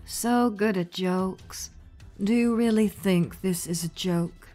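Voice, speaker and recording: A woman playing an imperious, towering gem ruler in a cartoon comic dub, with a theatrical, drawn-out, condescending delivery. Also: mid-range voice, warm tone, soft background music, natural voice. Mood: mocking. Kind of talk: animated comic dub acting.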